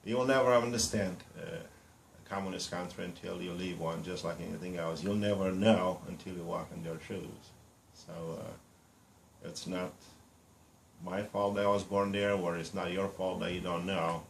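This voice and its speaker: A man in his fifties from Romania with a Romanian accent, introducing himself bluntly and boastfully in broken English with many pauses and fillers. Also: low voice, steady tone, gravelly, roomy sound, slight background noise. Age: 50s. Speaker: reality show applicant. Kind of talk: casual self-introduction to camera.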